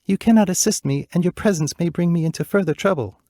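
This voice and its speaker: A man voicing a sorrowful young princess, pleading and tender, in a higher voice than his narration. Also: quick pace, mellow, no echo, studio quality, lightly acted. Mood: urgent.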